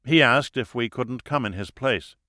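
Guy reading a level-headed woman scientist, bit teasing, careful, bright dry-room sound, audiobook. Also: mid-range voice, moderate pace, dry recording, natural voice. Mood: polite, explaining. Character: wry, capable, cautious American wife and scientist.

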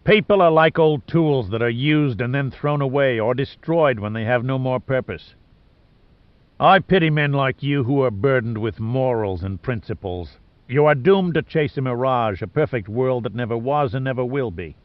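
A man's voice: medium pitch, normal speed, no room sound, muffled recording, close to an everyday voice. A man voicing an arrogant, callous older male tycoon villain in an audiobook, speaking with cold, pompous scorn. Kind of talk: audiobook character dialogue.

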